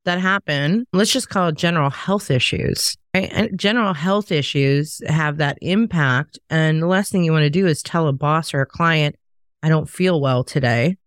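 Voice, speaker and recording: A woman's voice: low-pitched, moderate pace, no room sound, clean studio sound. A middle-aged woman co-hosting a podcast, talking candidly in a casual chat and telling stories from her own work. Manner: candid, storytelling. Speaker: podcast co-host.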